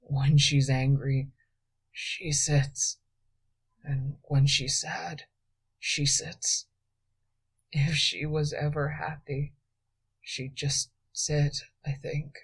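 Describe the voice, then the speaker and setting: A woman voicing an exhausted, wounded young male thief who speaks in a faint, slow whisper. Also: deep voice, little pitch movement, breathy edge, full character voice, poor audio. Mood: tired, quiet.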